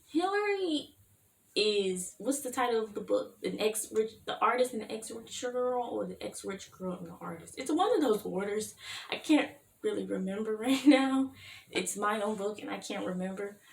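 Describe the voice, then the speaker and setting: A tired young woman, her voice going hoarse, chatting in a rambling vlog with lots of "like" and "you know what I mean". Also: medium pitch. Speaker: YouTuber, fan-fiction writer. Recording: echoey room with faint hiss.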